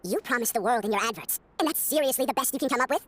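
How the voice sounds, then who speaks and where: Rapid-fire, scornful complainer acted by a woman. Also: on the high side, expressive, a little hiss or hum, lightly acted. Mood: scornful, indignant. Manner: scornful, combative, indignant. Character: argumentative, scornful, egotistical complainer with rotten temper. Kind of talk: video game voice acting.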